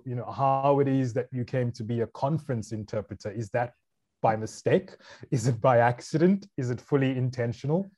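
A man hosting an interview, first reading a guest introduction and then asking questions in a measured way. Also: medium pitch, warm tone. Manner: measured, reads a prepared introduction. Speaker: interviewer, host.

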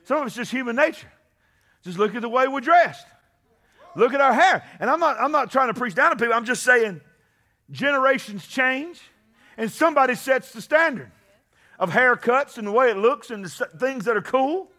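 A man preaches, his voice pitched very high and ringing bright. He moves from conversational teaching into fervent, shouted climaxes, calling out to his congregation. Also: animated, normal speed. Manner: impassioned preaching, shouting at peaks. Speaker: pastor. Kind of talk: sermon.

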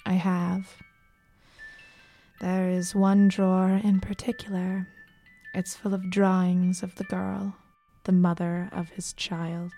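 An actress playing a doomed, resigned wife telling her diary in a brooding, hushed audio drama monologue that slips into whispers. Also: on the low side. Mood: brooding, suspicious.

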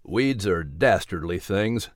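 A man voicing a calm, reassuring reverend with a lower, measured delivery in a storybook reading. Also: dry recording, studio quality.

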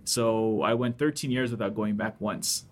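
A man from Jamaica, raised in Canada, answering interview questions thoughtfully with frequent pauses. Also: fairly low pitch. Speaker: guest.

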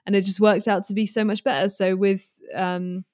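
A woman's voice: medium pitch, level tone, normal speed, no echo, clean studio sound. A British woman in her early twenties chatting casually as a guest, candid, informal and rambling. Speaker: podcast guest, guitarist and online creator. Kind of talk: casual chat.

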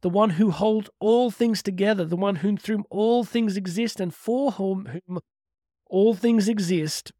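High-pitched man giving an earnest motivational talk. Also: unhurried, mellow, no room sound, studio quality. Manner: earnest, emphatic, with rhetorical questions. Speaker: motivational speaker. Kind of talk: motivational talk with religious teaching.

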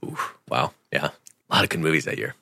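Male podcast co-host, lively swooping delivery, bright forceful tone, jokey profane film chat. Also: very deep voice, a little fast, creaky, no echo, clean studio sound. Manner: animated, joking, swears freely. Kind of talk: casual profane banter about a film.

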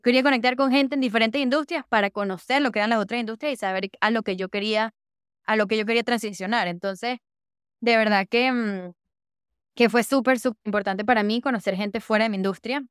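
A young woman from Venezuela talks as an interview guest, upbeat and eager, often repeating her intensifiers. Her voice is smooth and resonant, and it sits at an ordinary pitch for a woman. The sound is dry and close. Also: steady tone, fairly quick, no room sound. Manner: enthusiastic, repeats "de verdad que" and "súper". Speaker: podcast guest, user researcher. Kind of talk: casual interview chat.

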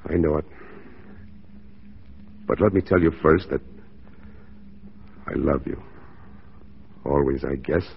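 An actor playing a stoic, dutiful mounted police sergeant in a 1940s radio drama, terse and firm. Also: male voice, very deep voice, slow pace, vocal fry, pauses often, a little hiss or hum, full character voice. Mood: grave, pained. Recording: dull 1940s radio recording.

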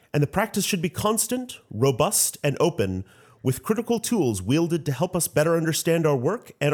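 A man's voice: fairly high pitch, darkish tone. A man moderating a discussion in a polite, formal manner, partly reading from prepared text. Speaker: roundtable moderator.

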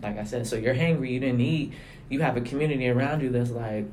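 A man with a medium-pitched voice co-hosts a casual, reflective discussion. He talks informally, reworking ideas through everyday examples. There is slight noise behind him. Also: fast, darkish tone. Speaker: podcast co-host.

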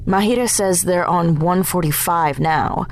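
A woman's voice: fairly low pitch, measured pace, slight background noise. A woman acting in an audio drama as a capable, matter-of-fact team member on a covert mission, with dry humour. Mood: wry, practical. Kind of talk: naturalistic fiction podcast acting.

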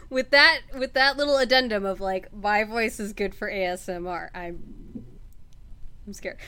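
A woman co-hosting a podcast over remote voice chat, chatty and halting, often restarting her sentences. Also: medium pitch, pushed and bright, smooth. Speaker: podcast co-host. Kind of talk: casual chat.

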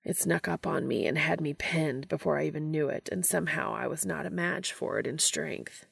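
A woman voicing an earnest male angel with a flat, stiff, deadpan delivery in an audio story. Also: low-pitched, moderate pace, vocal fry, breathy edge, no room sound, clean studio sound, full character voice. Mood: muttering.

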